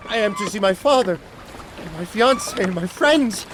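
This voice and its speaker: An actor playing a naive, frightened young man taken prisoner, pleading earnestly and haltingly in an audio drama. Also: high-pitched, animated. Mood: desperate pleading. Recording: noisy boat and water ambience. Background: boat and water sounds.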